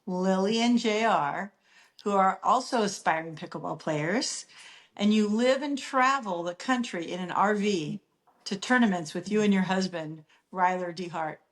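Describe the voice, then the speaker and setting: A woman's voice: mid-range voice, moderate pace. Eager woman co-host. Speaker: podcast co-host. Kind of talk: enthusiastic interview hosting.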